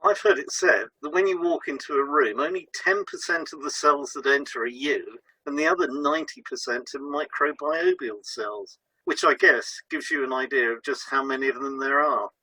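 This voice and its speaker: A man presents a scripted educational podcast. His voice is bright and forceful with a noticeably husky grain, and he explains things clearly in order. It is recorded very dry. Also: medium pitch, normal speed, few pauses, no echo, rough recording. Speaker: podcast co-host and hypnotherapist.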